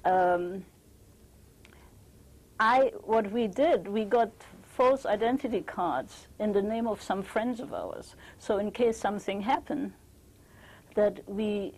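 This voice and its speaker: An older woman from Belgium giving an oral history testimony, speaking haltingly with frequent restarts. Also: medium pitch, darkish tone, rough recording. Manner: hesitant, halting, many restarts.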